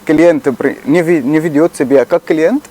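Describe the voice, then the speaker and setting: Egyptian-accented man, Arabic native, earnest and halting, voice a touch high. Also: mellow. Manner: earnest, halting, slow to find words. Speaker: shopkeeper. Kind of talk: casual chat.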